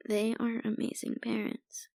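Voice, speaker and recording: A woman acts out a troubled young woman painter confiding in a stranger in an ASMR roleplay. Her voice is fairly high and very unhurried, soft and halting, with sighs between phrases. The sound is close and dry. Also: completely flat delivery, gravelly, dry recording. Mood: worried, subdued. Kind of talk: ASMR roleplay, intimate monologue.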